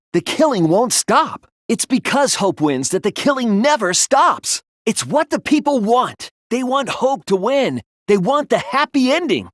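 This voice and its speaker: A man's voice: high voice, no room sound, studio quality, full character voice. A young man voicing an earnest, wounded but defiant teenage boy detective in a dramatic video game dub, emotional and emphatic. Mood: impassioned defiance. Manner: emotional, emphatic, swinging from hushed to impassioned.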